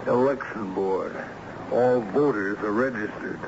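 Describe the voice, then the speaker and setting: An actor playing a brisk, determined lawyer in a vintage radio drama. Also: male voice, on the low side. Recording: noisy, muffled old radio broadcast.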